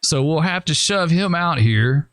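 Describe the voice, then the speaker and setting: A man hosting a gaming channel, thinking aloud and rambling with hesitations as he plans moves in a train simulator game, his voice going hoarse. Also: a little high, lively intonation, unhurried, a bit bright, no room sound. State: losing his voice. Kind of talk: casual gameplay commentary.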